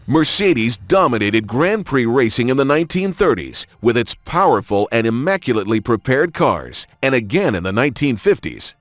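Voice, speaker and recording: A man reading scripted documentary-style narration in a polished, measured announcer delivery. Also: medium pitch, sing-song, dry recording. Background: background music.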